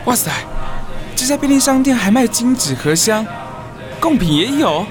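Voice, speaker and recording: A man's voice: very high-pitched, very animated, normal speed, music in the background. A man acting a chatty young newcomer in an audio drama, muttering playfully to himself in Taiwanese Mandarin. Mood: playful, wry. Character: chatty, wry young man new to the neighborhood. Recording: clear noise behind the voice.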